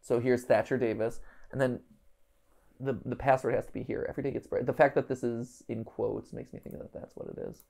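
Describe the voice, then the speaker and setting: A man in his thirties talks through a puzzle live in a casual way. His mid-pitched voice has plenty of creak. He mutters unfinished thoughts to himself and leans into the bits he reads out. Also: steady tone, a little fast, a little mellow. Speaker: livestream host. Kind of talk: casual live puzzle-solving commentary, thinking aloud. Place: indoor room, livestream set.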